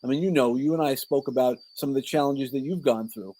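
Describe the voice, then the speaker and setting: A man's voice: medium pitch, quick pace, mellow, low-quality recording. Middle-aged New York man, informal and rambling. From New York, Brooklyn. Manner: informal, rambling, self-interrupting. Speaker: podcast guest, coach and speaker. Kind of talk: conversational interview, personal storytelling.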